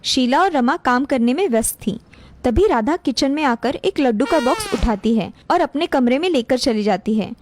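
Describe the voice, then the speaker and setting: High-pitched woman narrating a story. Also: expressive, normal speed, background noise. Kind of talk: scripted cartoon story narration.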